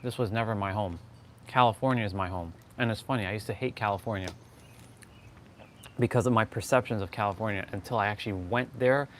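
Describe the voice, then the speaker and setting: A man's voice: on the low side, a little fast, fairly bright tone. Canadian man, emphatic, with noisy background. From Toronto, Canada. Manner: emphatic, insistent, repeats phrases for stress. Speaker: motivational livestream host. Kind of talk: impromptu motivational monologue. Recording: clearly noisy outdoor ambience.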